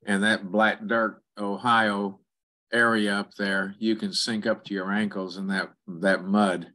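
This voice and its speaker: A man with a fairly low voice and a sharp, bright edge chats casually on a conference call. He delivers his remarks in short broken pieces, pausing between them. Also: flat delivery. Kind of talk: casual chat. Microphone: online conference call.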